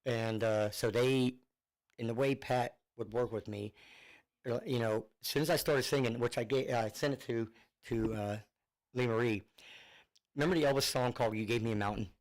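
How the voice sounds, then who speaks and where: A man's voice: medium pitch, fairly even intonation, moderate pace. A middle-aged man with a northern US accent talks casually as a singer guest on a radio show. He rambles and restarts, with his speech full of fillers, and he is heard over a phone line. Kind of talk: casual radio interview chat. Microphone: Bluetooth phone line.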